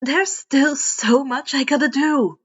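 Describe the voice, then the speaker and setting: A woman's voice: high-pitched, slow, highs cut off. A woman voicing an eager teenage boy vigilante in a story reading, youthful and a bit hesitant.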